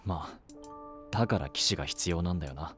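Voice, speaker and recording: An actor playing a brash, sceptical young would-be knight with a blunt, rough manner in an anime voice drama. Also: male voice, sits low, fairly quick, over music, close to an everyday voice. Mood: exasperated, puzzled.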